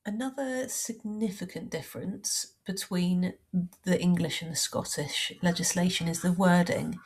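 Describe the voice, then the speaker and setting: A woman with a heavy cold and a croaky voice, explaining housing law in a measured, practical way as a surveyor. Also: lowish voice. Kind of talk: informal explainer talk.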